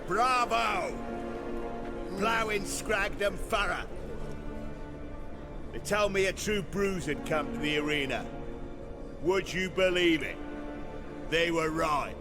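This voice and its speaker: A man's voice: sits high, slow, long pauses, over music. An actor playing a swaggering, foul-mouthed gangster henchman in a video game, with a mocking, theatrical way of talking.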